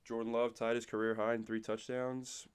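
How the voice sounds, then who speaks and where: A young man, hoarse and nasal from a cold, co-hosting a casual podcast and rattling off game stats. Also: mid-range voice, level tone, moderate pace. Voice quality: raspy, nasal. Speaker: podcast co-host. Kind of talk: casual sports chat.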